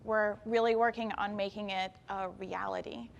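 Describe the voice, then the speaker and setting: A woman's voice: medium pitch, fairly even intonation, moderate pace, vocal fry, in a large hall, muffled recording. A woman in her thirties from Bulgaria, an engineer and planetary scientist giving an enthusiastic talk to young students. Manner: enthusiastic, addresses audience directly. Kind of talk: inspirational talk to youth. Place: event hall.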